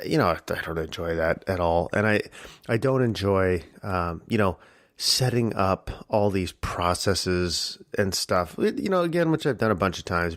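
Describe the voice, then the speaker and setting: A man hosting a casual solo podcast with a husky voice, reflective and rambling with many fillers. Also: low voice, a bit bright, no echo, clean studio sound. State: early-morning tired voice. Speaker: podcast host.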